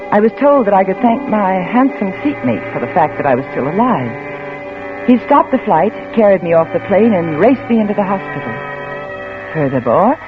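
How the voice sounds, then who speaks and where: An actress playing a sardonic, suspicious insurance investigator in a vintage radio drama, with dry, clipped delivery. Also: female voice, mid-range voice, natural voice. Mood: wry recollection. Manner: dry, wry, clipped retorts. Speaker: radio actor and first-person narrator. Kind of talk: 1940s-50s radio drama acting. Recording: noisy, tinny old radio recording.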